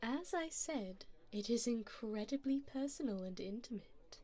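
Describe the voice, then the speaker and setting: Slow, elegant vampire woman, acted by a woman. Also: fairly high pitch, animated, dark tone. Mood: poised, businesslike. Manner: formal, teasing, unhurried endearments. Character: elegant, flirtatious vampire woman, refined, calm and predatory. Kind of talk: ASMR roleplay to the listener.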